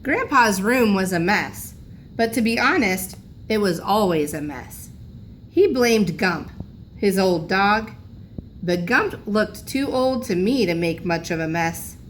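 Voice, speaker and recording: A woman teacher reading a children's storybook aloud in a deliberate, expressive way. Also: medium pitch. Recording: background noise in room recording.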